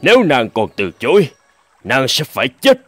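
A man's voice: mid-range voice, huge pitch swings, moderate pace, a bit bright, soft background music. A man voicing an imperious foreign king who threatens a captive princess in a cartoon fairy tale. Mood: threatening. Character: domineering foreign king, haughty suitor.

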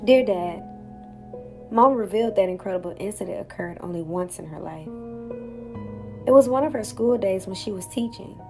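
A woman's voice: mid-range voice, normal speed, long pauses, darkish tone, faint music behind, natural voice. A woman playing an earnest teenage daughter voicing her letter in an audio drama. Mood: earnest, sorrowful.

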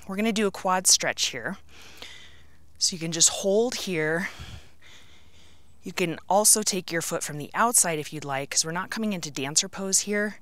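A middle-aged woman teaching a yoga class, cueing poses and breaths step by step in short, measured phrases. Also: on the low side, fairly bright tone, dry recording. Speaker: yoga instructor. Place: outdoors on an open rocky canyon rim.

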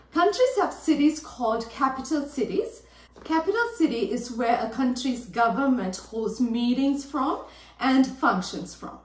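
A woman hosting a children's circle time lesson, explaining things slowly and gently as a teacher. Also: high-pitched. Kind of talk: children's lesson, slow and clear. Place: living room with plants. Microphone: far camera. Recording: roomy echo, from far off.

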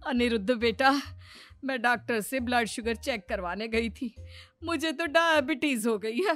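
A high-pitched middle-aged mother-in-law from a cartoon moral tale, acted by a woman. She is chatty and gullible, quick to confide and to complain. Light music plays behind her. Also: a bit bright. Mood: worried. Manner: gossipy, complaining. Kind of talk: Hindi moral-story cartoon dialogue.

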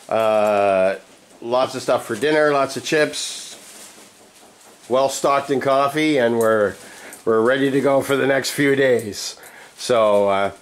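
A man in his fifties, chatting casually to camera from his apartment, relaxed and rambling with plenty of "uh" fillers. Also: medium pitch. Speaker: vlogger. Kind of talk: casual vlog chat. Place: apartment at home, indoors. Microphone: handheld camera mic, close.

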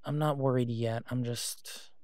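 A man playing a tired, devoted and protective werewolf in an intimate audio drama phone call, speaking in a halting, reassuring way. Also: medium pitch, steady tone, darkish tone, no echo, studio quality, close to an everyday voice. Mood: weary, reassuring.

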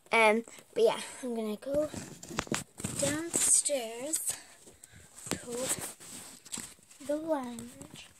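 A woman's voice: a little high, a little hiss or hum. A teenage girl vlogging from her bedroom, losing her voice, chatting in a rambling, hesitant way. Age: teens. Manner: chatty, rambling, slow and hesitant with many ums. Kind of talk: casual vlog chat. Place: small bedroom at home. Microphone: webcam or phone at arm's length.

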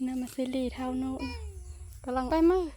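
A very high-pitched female voice with an Isan Thai accent, chatting casually as a companion on a vlog. It is recorded outdoors with faint noise around it. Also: brisk, mellow. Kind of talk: casual chat. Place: outdoors in a rural garden.

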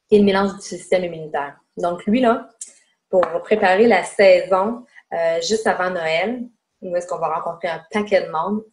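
A woman's voice: fairly low pitch, a little mellow, rough recording. A woman in her forties with a Québécois French accent, presenting a casual home webinar lesson and pointing out spots on herself as she explains. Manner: chatty, demonstrating on herself as she talks. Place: home room indoors. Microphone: webcam mic on a video call.

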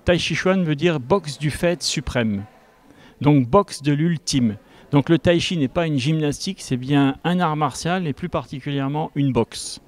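A man in his fifties speaking as an instructor in an interview, explaining step by step and haltingly into a handheld microphone. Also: fairly high pitch. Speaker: tai chi instructor. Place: large school gymnasium with a group behind. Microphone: handheld reporter microphone.

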